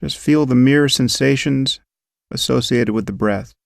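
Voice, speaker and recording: Man with a gravelly voice guiding meditation. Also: mid-range voice, no echo, studio quality. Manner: slow, calm, instructive. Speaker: meditation guide. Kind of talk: guided meditation.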